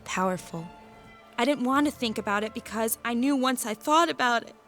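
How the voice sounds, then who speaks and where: A woman's voice: on the high side, a little fast, fairly bright tone, faint music behind, close to an everyday voice. An actress playing an emotional, confessional modern teenage girl in an audio drama. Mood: intense, confessional. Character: teenage girl from modern America, shaken yet thrilled.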